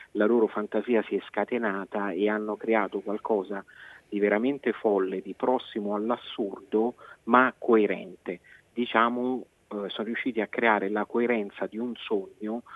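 A man's voice: fairly low pitch, no room sound. A man giving a reflective radio interview, stretching key words for emphasis. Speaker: theatre director, interview guest. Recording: muffled phone-like line, dry.

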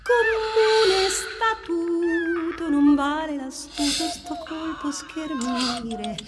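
A woman's voice: very high voice, very slow, heavily acted. A woman playing a singing siren island that chants a lament on inevitable death in a radio drama. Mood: mournful singing. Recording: music behind the voice.

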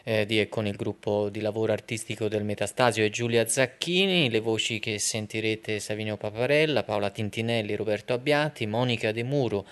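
A man's voice: on the low side, a little fast, hardly any pauses, a bit bright. A man hosting a radio arts programme, interviewing and introducing a broadcast. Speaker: radio host.

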